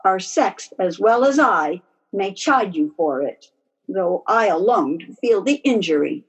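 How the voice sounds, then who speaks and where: A woman playing a wronged, pleading young gentlewoman in an amateur Shakespeare verse table read. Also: mid-range voice, expressive, slow, breathy edge. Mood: wounded, pleading. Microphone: video call. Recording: dull, lo-fi video call.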